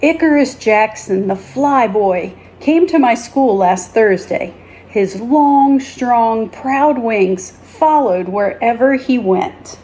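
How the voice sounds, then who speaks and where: Woman, children's read-aloud, fairly high pitch, mellow tone, deliberate storytelling delivery. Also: lively intonation. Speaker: teacher reading a story aloud.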